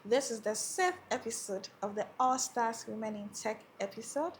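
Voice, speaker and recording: A woman hosts a podcast interview in a slightly high voice. She moves from a scripted introduction to short prompts for her guest. A faint hiss sits under the recording. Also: expressive, normal speed. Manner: scripted show intro, then brief encouraging backchannels. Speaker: podcast host.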